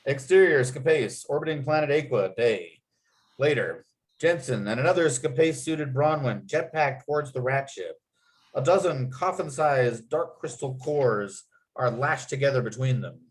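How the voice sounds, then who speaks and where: A man's voice: medium pitch, expressive, moderate pace, poor audio. A man reading screenplay stage directions as the narrator of a table read.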